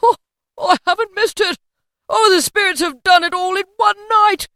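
A woman's voice: very high-pitched, fairly even intonation, normal speed, pushed and bright, no echo, clean studio sound, voice pushed up. A woman voicing a giddy, overjoyed old man, a reformed miser in a storybook, with exclamatory, whooping, theatrical delivery. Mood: giddy, elated. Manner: exclamatory, whooping, laughing between phrases. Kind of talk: theatrical storybook character reading.

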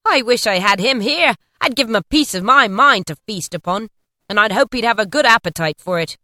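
A woman voicing an indignant, sharp-tongued mother who scorns her husband's employer. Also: fairly high pitch, expressive, a little fast, dry recording, studio quality.

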